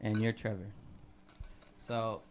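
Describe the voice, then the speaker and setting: Slow, laid-back man asking questions. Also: lowish voice, flat delivery, a little hiss or hum. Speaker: radio show host. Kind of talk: casual interview questions. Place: community station studio.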